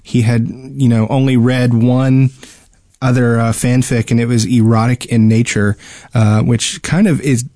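A man's voice: mid-range voice, level tone, a little slow, dark tone, dry recording, studio quality. A man co-hosting a gaming podcast in casual chat, talking hesitantly and often repeating words mid-sentence. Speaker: podcast co-host.

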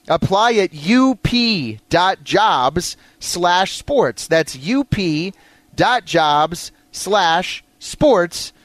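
High-voiced man hosting sports talk radio. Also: no echo. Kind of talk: casual sports talk with banter and sponsor reads.

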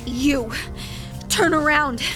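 A woman's voice: sits high, extremely slow, over music. A woman playing a determined, frantic young artist in a melodramatic audio drama. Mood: fierce, commanding.

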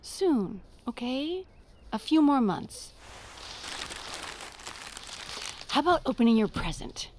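A woman playing an anxious, coaxing, protective mother in an audio drama, often dropping to a hushed tone. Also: sits high, very animated, a little slow, slight background noise. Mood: soothing.

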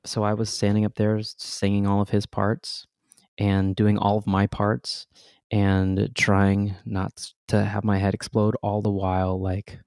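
A man with a low, gravelly voice tells a backstage story in a loose, conversational way. He often breaks into laughter at his own expense. The sound is close and dry, with no room around it. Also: a little mellow, dry recording. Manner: chatty, self-deprecating, laughs often. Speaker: guest, stage performer. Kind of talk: casual interview storytelling.

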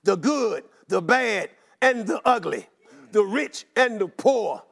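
High-voiced, gravelly shouting preacher man. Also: animated, slow. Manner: rhythmic chanting preaching with shouted climaxes; repeats one call in long lists. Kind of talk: sermon.

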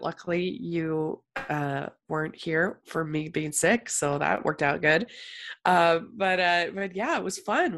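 Congested woman with a low gravelly voice. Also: fairly bright tone. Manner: chatty, many fillers and restarts. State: congested, sick voice. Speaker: podcast host, film critic. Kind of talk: casual podcast chat.

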